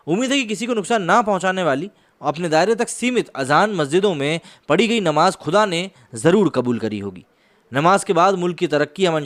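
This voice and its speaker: A man delivering news analysis commentary, partly scripted, in an emphatic, rhetorical manner. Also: a little high. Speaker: commentator presenting a video.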